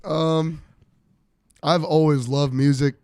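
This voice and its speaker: A young man, a musician guest, chatting loosely with many fillers and pauses. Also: a little high, no echo, studio quality. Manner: relaxed, hesitant, many fillers. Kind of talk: casual interview chat.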